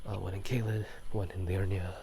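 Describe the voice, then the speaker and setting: A man speaking in soft whispers for a casual ASMR gaming chat outdoors at night, unscripted and rambling, often correcting himself. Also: deep voice, flat delivery, a little fast, a little hiss or hum. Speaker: video host. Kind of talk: whispered ASMR chat about video games. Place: outdoors at night near rice fields.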